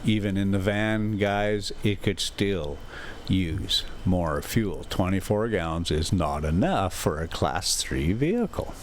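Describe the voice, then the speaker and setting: A man hosting a casual travel vlog, rambling in a folksy, halting, thinking-out-loud way as he chats and reads aloud. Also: sits low, lively intonation, a little hiss or hum. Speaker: RV travel vlogger and host.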